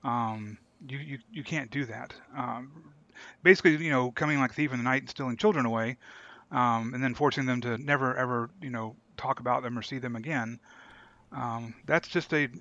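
Man, forceful bright voice, dull lo-fi recording, podcast co-host, halting opinionated talk. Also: medium pitch, no echo. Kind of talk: casual opinionated discussion. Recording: dull, lo-fi close microphone.

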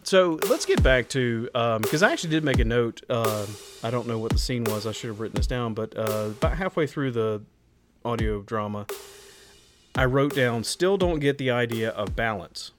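A man's voice: medium pitch, expressive, moderate pace, over music. A man co-hosting a podcast in casual, joking conversation. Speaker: podcast co-host.

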